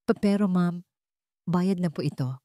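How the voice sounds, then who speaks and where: A woman's voice: fairly low pitch, unhurried, dark tone, no room sound, clean studio sound, close to an everyday voice. A woman voicing a deferential, matter-of-fact male delivery worker in a love story. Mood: polite. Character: polite, hesitant deliveryman in uniform.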